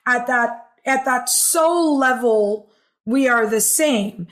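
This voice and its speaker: A woman's voice: fairly high pitch, takes it slowly, fairly bright tone. A woman in her forties, from Hong Kong, chatting enthusiastically as a podcast guest and telling her life story with frequent "like" and "um". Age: 40s. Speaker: podcast guest, healing coach. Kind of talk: conversational storytelling interview.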